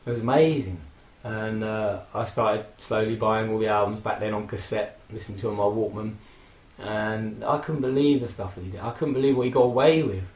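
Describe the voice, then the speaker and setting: A man in his forties, hoarse from a throat infection, casually rambling and reminiscing with frequent fillers and laughs. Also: on the low side, steady tone, a little fast, a little mellow, roomy sound. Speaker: vlogger. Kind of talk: casual personal tribute monologue.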